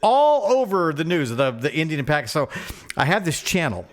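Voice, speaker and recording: A man's voice: on the high side, sing-song, a little fast. Wry, rambling male podcast co-host. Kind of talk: casual comic chat.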